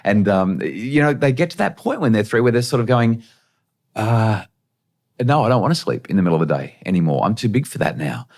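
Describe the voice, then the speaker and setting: Low-voiced Australian man telling stories. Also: a little fast. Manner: animated storyteller, acts out quotes. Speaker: guest parenting expert. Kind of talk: podcast interview, storytelling.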